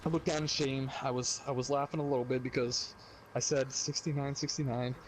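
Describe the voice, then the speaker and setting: A young man casually telling a comic personal anecdote on a podcast, animated and slangy with some swearing. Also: mid-range voice, brisk, a little hiss or hum, rough recording. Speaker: podcast storyteller.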